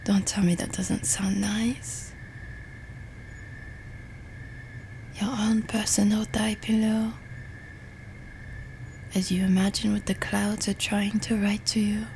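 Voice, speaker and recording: A woman playing a playful, teasing, affectionate young French roommate in an intimate ASMR roleplay, speaking softly and without hurry. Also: medium pitch, level tone, slight background noise. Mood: coaxing, affectionate. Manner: soft, unhurried, breathy whispering, teasing.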